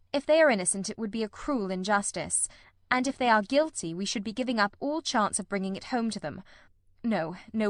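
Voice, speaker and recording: A woman voicing a grave, shrewd male detective in a storybook, speaking in precise, deliberate, formal sentences. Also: mid-range voice, no echo, studio quality, close to an everyday voice.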